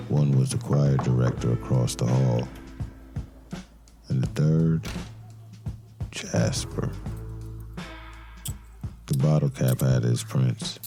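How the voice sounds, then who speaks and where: A man playing a brooding detective, narrating a crime drama in a somber, deliberate noir style with dramatic pauses. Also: rumbling low. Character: brooding male detective narrating a murder case. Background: music.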